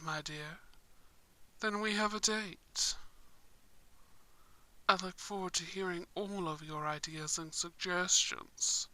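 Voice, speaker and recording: Woman voicing a slow, suave vampire prince. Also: fairly low pitch, bright, forceful tone, pitched below the performer's own voice, low-quality recording. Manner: formal, measured, suave phrasing. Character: courtly, smug, romantic young male vampire prince. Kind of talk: scripted roleplay ASMR.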